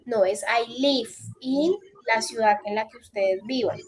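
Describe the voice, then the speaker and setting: A woman in her thirties with a high voice, teaching an online English class over a video call. She walks through each point step by step and keeps checking that her students follow. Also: unhurried. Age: 30s. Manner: explains step by step, checks in with repeated "listo". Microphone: computer mic on a video call.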